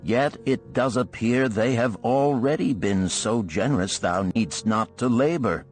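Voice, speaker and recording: A sociable ancient musician, acted out by a male narrator, speaks in elaborate archaic phrases. His storybook voice is theatrical and pitched up, with faint music behind it. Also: unhurried, natural voice. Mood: cheerful, teasing. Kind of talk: audiobook character voice.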